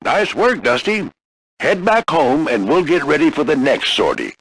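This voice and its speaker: An older American man voicing a gruff veteran warplane flight instructor in a video game, husky and folksy, coaching firmly but with encouragement. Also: on the high side, very animated, moderate pace, fairly bright tone, heavily acted, low-quality recording. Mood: encouraging. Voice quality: gravelly, husky. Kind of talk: scripted video game character lines.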